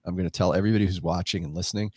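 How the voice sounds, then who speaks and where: Congested deep-voiced man hosting a podcast. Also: steady tone, rapid-fire, darkish tone, husky, dry recording, clean studio sound. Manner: chatty, rambling, self-interrupting. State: congested from a head cold. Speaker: podcast host. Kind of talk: casual live podcast chat. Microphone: desk microphone on a live stream.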